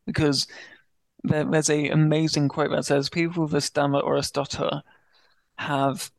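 A young man with a stammer, telling his personal story as a podcast guest and pacing his words slowly before speeding up. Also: fairly high pitch, little pitch movement, creaky, no echo, poor audio. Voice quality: speaks with a stammer. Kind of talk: candid personal story in an interview.

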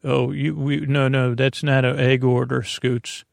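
A man voicing a diner waiter in a brief comic skit, chatty and mildly puzzled, in a creaky voice close to his own. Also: medium pitch, level tone, a little slow, no room sound. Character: a male diner waiter taking an egg order.

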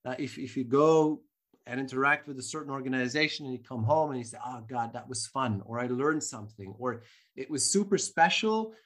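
A marketing professor answering interview questions thoughtfully, with frequent restarts and fillers. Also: male voice, mid-range voice, fairly quick, a bit bright. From Belgium.

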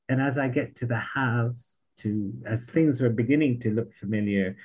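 A man from Palestine with a British accent, a memoir author telling lively stories in an interview and acting out other voices. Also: medium pitch, slightly rough, dull audio. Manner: animated anecdotes, laughs often, acts out voices. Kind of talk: storytelling in an interview.

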